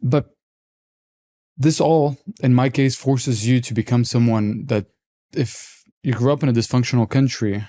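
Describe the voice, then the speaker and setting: A man in his twenties from Lebanon, a podcast guest telling his story in a relaxed, candid, reflective way. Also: mid-range voice, measured pace. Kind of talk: casual interview talk.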